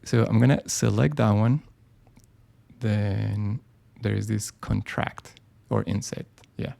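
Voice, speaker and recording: Gravelly, mellow man thinking aloud. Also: on the low side, steady tone, slow. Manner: casual, thinking aloud with many ums and restarts. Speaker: design software presenter. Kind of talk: live screen-share tutorial.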